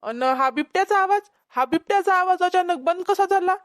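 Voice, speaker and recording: Cartoon casting: woman as officious rescue worker, high voice, Vidarbha Marathi accent, questioning manner. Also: moderate pace. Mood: suspicious. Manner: questioning, instructing. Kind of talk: amateur cartoon comedy voice acting.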